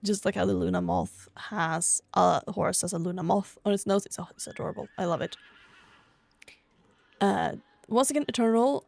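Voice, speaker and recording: A woman's voice: lowish voice, normal speed, vocal fry, darkish tone. A woman from Sweden chatting casually over gameplay in a gushing, rambling way, sounding a bit tired. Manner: gushing over cute things. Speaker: gaming vlogger.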